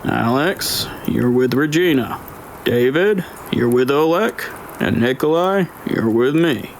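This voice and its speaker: Stern older male crew leader, acted by an audiobook narrator, gravelly, commanding and deliberate, faint background noise. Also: mid-range voice, animated, voice pushed up. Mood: firm. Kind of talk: dramatised audiobook dialogue.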